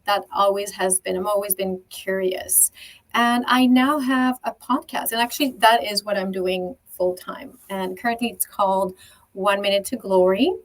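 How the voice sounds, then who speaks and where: A woman in her forties from Belgium with a French-speaking Belgian accent, a podcast guest chatting eagerly about her life, often pausing on fillers and restarting her sentences. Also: mid-range voice, rough recording. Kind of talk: casual interview chat.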